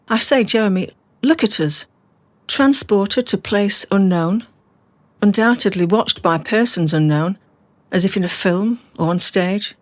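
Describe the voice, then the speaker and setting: A composed, rational woman held captive, played by an actress in a radio drama. Her delivery is measured and precise, with a cool, detached logic. The voice sits at a middle pitch in a very dry recording. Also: no echo, close to an everyday voice. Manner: measured, matter-of-fact, precise.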